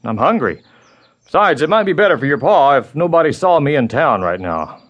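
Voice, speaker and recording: A calm Old West lawman with an American accent. His voice sits a little high and moves expressively. He is friendly yet probing, with plain folksy talk, as in an audio Western. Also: lo-fi sound. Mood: reassuring, easygoing. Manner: folksy, measured questioning. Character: calm, steady Texas Ranger, friendly but probing. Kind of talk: Western audio drama acting.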